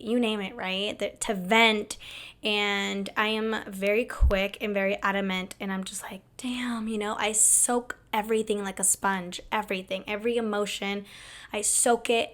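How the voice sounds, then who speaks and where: A young woman hosting her own video talk show, chatty and candid, her voice hoarse as it comes back after losing it. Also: medium pitch. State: hoarse, recovering lost voice. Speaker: host. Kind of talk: casual talk to camera.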